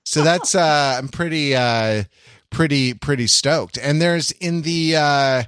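Man, higher-than-average voice, podcast co-host, rambling casual chat, playful exaggeration, close dry recording. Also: slow pace, clear, resonant tone, no room sound. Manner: rambling, with many false starts and hedges; animated comic exaggeration. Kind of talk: casual panel chat about television.

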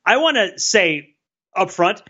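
Lively bright man, dull lo-fi recording. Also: high voice, slow, husky. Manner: teasing, playfully earnest. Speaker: podcast co-host. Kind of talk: casual chat. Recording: dull lo-fi sound.

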